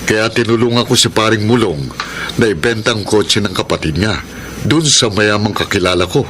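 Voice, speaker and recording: Low-voiced humble Filipino father. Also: lively intonation, moderate pace, sharp tone, slight background noise. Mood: gentle, puzzled. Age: middle-aged. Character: humble, forgiving Filipino taxi driver and family father. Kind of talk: radio drama acting. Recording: music bed behind.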